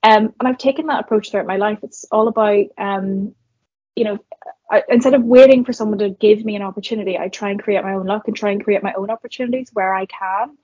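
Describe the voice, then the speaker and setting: A husky young woman with a Northern Irish accent talks things through in a thoughtful, conversational way. Her voice sits dry and close on a somewhat dull, lo-fi recording. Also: medium pitch, steady tone, fast, darkish tone, no room sound. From Northern Ireland. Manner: reflective, many fillers and restarts. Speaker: guest, marketer and entrepreneur. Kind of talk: conversational podcast interview.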